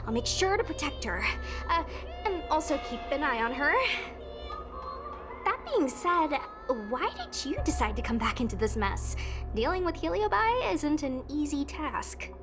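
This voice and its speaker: A woman voicing a loyal, easily scared but well-meaning young girl in a video game, expressive and earnest. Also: sits high, unhurried, music in the background, heavily acted. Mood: sheepish, earnest.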